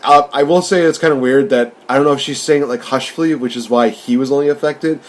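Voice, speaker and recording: A man with a middling pitch hosts a casual film discussion. He rambles enthusiastically as he recaps and gives his take, in a conversational reviewer's style. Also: quick pace. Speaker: podcast host and film reviewer.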